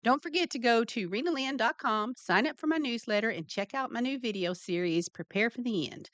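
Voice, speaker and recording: A woman's voice: on the high side, expressive, brisk, fairly bright tone, no room sound. A woman hosting an interview show, welcoming her guest with upbeat enthusiasm. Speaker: host. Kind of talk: interview host, promoting sponsor.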